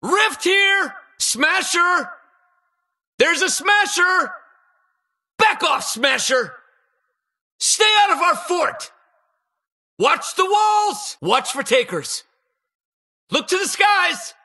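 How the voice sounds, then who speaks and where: An actor playing an eager, anxious and boastful toy-inventor hero in a video game, with a husky, slightly awkward delivery. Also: male voice, very high voice, big pitch swings, very slow, pauses often, pushed and bright, full character voice. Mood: urgent, alarmed. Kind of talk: video game battle voice lines.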